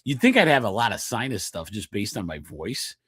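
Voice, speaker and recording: A man's voice: lowish voice, sing-song, a little fast, a bit bright, husky, no room sound, studio quality. A middle-aged man hosting a chiropractic health podcast, with a nasal voice, talking in a chatty, rambling way and often checking in with the listener. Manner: chatty, digressive, laughs often, checks in with "okay?". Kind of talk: casual health talk.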